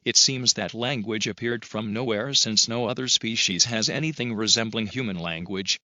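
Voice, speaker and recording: A man's voice: on the low side, normal speed, sharp tone, no echo. A man reading a scripted passage aloud as an English exam practice example, on a slightly dull recording.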